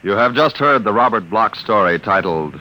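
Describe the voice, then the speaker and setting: A man's voice: lowish voice, lively intonation, moderate pace. A man reading scripted credits as a formal, emphatic 1950s radio announcer. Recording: hissy old radio recording.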